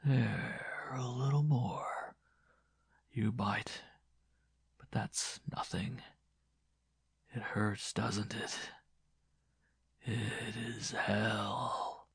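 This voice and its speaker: A man voicing a bitter, menacing, disfigured blind former lover in a slow, hushed, breathy voice for a horror story. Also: lowish voice, lively intonation, no room sound, studio quality, full character voice. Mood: commanding, cruel. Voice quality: breathy, hushed, often whispering. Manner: slow, quiet, pleading turning cruel.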